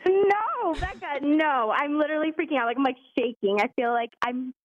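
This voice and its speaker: Gushing high-voiced woman caller on a phone. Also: moderate pace, no room sound. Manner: gushing, says "like" and "literally" a lot. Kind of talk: excited phone call. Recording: muffled phone line.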